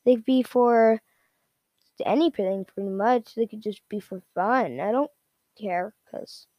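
A woman giving a casual, unscripted product rundown in a rambling, repetitive, halting way. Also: medium pitch, no echo, lo-fi sound. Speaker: product reviewer.

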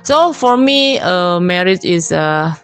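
A woman's voice: lowish voice, animated, slow pace, soft background music. A woman, giving a casual personal testimony. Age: 30s.

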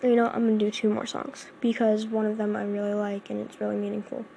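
Mellow-voiced woman chatting between song covers. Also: mid-range voice, flat delivery, fast, gravelly, slight background noise. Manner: chatty, rambling, lots of fillers. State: sore throat from singing. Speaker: amateur cover singer.

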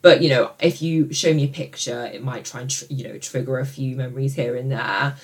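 A woman's voice: very deep voice, fairly even intonation, brisk. A young woman from China who grew up partly in the UK, a native Mandarin speaker with a British accent, co-hosting a casual conversational podcast. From born in northern China, grew up partly in the UK. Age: young adult. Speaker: podcast co-host.

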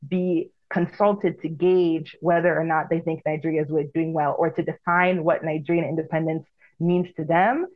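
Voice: mid-range voice, flat delivery, moderate pace. Thoughtful podcast co-host, muffled audio. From United States (South Carolina). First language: Igbo. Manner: thoughtful, full of "like" fillers, restarts mid-thought. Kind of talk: casual reflective chat.

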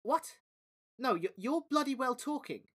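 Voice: medium pitch, big pitch swings, moderate pace, bright, forceful tone, breathy edge, full character voice. A podcast host voicing a flustered man who can't believe what he's hearing, in a read-aloud story. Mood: incredulous. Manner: incredulous, frantic. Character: flustered ordinary man at a bus station. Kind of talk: storybook reading.

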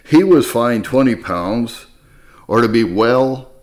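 A man reading church history as a scripted narration, in a measured, formal manner with phrases that fall at the end. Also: mid-range voice, expressive, a little mellow.

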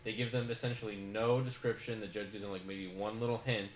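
A man's voice: lowish voice, fairly even intonation, brisk, slight background noise. Rambling man reviewing a show, echoey. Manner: rambling, full of "like" fillers. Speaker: podcast host.

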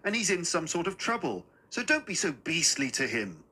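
A man's voice: a little high, huge pitch swings, moderate pace, pushed and bright, husky, voice pushed up, heavily acted. A man voicing an earnest, embarrassed child in a story, pleading with and reproaching a parent. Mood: exasperated, pleading. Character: earnest, embarrassed child scolding their mother.

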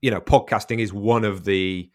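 A man's voice: on the low side, fairly even intonation, normal speed. A man interviewing a guest, thinking aloud in a hesitant way with many restarts. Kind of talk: conversational interview.

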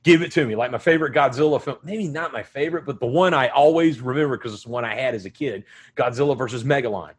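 A man hosting a casual movie chat, excitable and quick to laugh. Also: mid-range voice, husky. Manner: excitable, laughs often, bursts into shouting. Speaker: podcast host.

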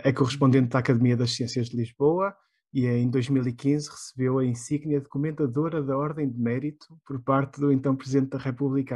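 A young man with a European Portuguese accent leads the interview at a fast clip. His voice is a little husky, and he is courteous and formal, with occasional filler sounds. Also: mid-range voice, a little mellow. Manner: formal, polite, with frequent hesitations. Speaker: podcast host.

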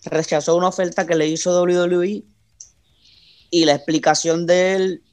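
Animated man chatting. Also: high voice, moderate pace. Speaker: wrestling podcast co-host. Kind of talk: casual chat.

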